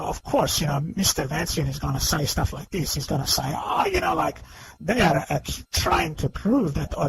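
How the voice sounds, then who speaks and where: A man giving unscripted, argumentative commentary in a halting but emphatic way, repeatedly seeking agreement. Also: on the high side, vocal fry, rough recording. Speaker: online video commentator. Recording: faint background noise.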